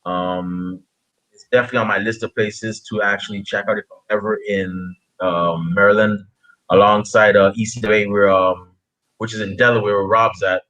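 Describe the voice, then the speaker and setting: A man from Guyana hosting a casual interview, speaking haltingly and often repeating phrases as he goes. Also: on the low side, steady tone, pushed and bright, rough recording. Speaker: podcast interviewer. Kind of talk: casual chat.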